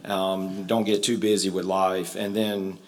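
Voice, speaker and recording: Deep man, a bit hoarse, halting folksy church prayer. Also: little pitch movement. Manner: folksy and halting, with many ums and uhs. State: slightly hoarse. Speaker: church member leading prayer. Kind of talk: spoken prayer and announcements. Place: church sanctuary.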